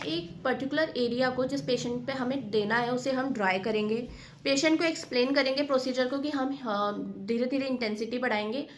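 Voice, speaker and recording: Woman, Indian accent, high voice, explanatory lecture style, code-switches into English terms, faint room noise behind. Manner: mixes Hindi with English technical terms, checks in often. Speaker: physiotherapy teacher.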